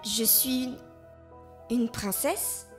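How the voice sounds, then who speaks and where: A woman voicing a bedraggled young girl who speaks in a deadpan, blunt way in a cartoon. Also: on the high side, extremely slow, faint music behind. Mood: weary.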